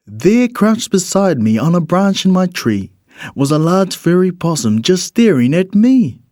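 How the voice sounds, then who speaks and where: A man reads a rhyming children's storybook in an expressive, measured storytelling manner. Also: high-pitched, no room sound, clean studio sound. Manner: expressive, measured storytelling in the character of a bird narrator. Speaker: storybook reader.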